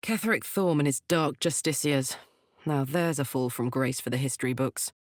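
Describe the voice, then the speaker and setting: A woman's voice: rumbling low, normal speed, no room sound, clean studio sound. A woman voicing a brash, hot-blooded, big-hearted warrior woman in a video game, with an earthy, blunt, sweary and emotionally open manner. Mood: bitter, subdued. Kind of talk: video game character voice lines.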